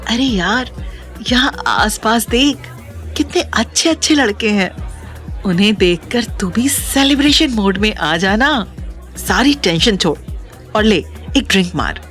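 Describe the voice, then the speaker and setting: An actress playing a bubbly young party-girl friend in an audio story, coaxing in a casual, drawn-out way. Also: mid-range voice, lively intonation, fairly bright tone, soft background music. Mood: excited, carefree. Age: young adult. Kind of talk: Hindi audio story acting.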